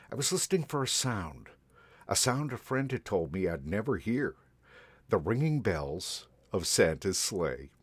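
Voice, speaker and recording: A man in a Santa persona reading a picture book aloud to children in a gentle, expressive way. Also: deep voice, breathy edge, dry recording. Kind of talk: storybook read-aloud to children.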